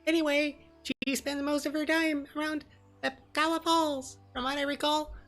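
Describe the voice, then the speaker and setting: A man voicing a chirpy little female game companion in an exaggerated falsetto. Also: little pitch movement, normal speed, fairly bright tone, soft background music. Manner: exaggerated falsetto, mocking caricature.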